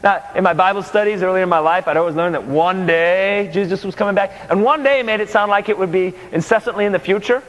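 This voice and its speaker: A man preaching a story in the first person, animated and conversational, in a large hall. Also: sits high, fast, hardly any pauses. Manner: animated, conversational, with acted dialogue. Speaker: pastor. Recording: large hall with long reverb.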